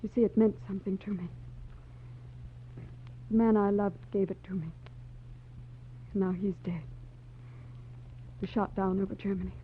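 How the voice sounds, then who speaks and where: An actress playing a secretive, frightened young wife in a 1940s radio melodrama, earnest and guarded. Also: female voice, medium pitch, little pitch movement, moderate pace, pauses often, slight background noise, lightly acted. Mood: wistful, bitter. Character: troubled, secretive, well-off young married woman, frightened. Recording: thin, phone-like vintage 1940s radio recording.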